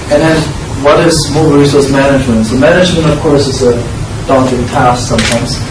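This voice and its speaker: A man with a German accent giving a slide presentation to a small audience, talking in a halting way with many fillers and restarts. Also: medium pitch, background noise. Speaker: industry speaker. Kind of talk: conference presentation. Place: classroom with projector screen. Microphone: far room camera. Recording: echoey room from far off.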